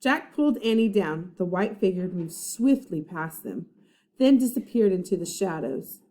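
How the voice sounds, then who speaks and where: A woman's voice: mid-range voice, sing-song, normal speed, warm tone. A woman first-grade teacher reading a children's book aloud, explaining things simply and checking that listeners follow.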